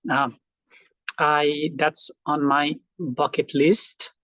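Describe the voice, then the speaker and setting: A man's voice: a little high, little pitch movement, very slow, sharp tone, no echo, poor audio. A middle-aged man from Nepal with a Nepali accent, speaking casually and reflectively to his online class, with many fillers and restarts. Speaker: college instructor. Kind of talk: casual lecture to online students.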